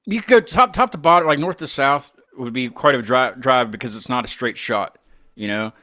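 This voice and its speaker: A man's voice: mid-range voice, a little fast, bright, forceful tone, dry recording. Casting: American male interview host, mid-range voice, informal and rambling, dry close recording. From United States. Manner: rambling, informal, mild swearing. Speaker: interviewer, music show host. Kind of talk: casual chat.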